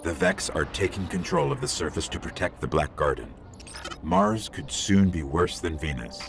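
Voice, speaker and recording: Low, creaky, wry robot companion. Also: male voice, big pitch swings, moderate pace, full character voice. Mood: focused, urgent. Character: small floating robot companion, male, clever, wry, guiding. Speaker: game companion guide. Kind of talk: scripted video game dialogue. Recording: loud music behind the voice. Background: loud music.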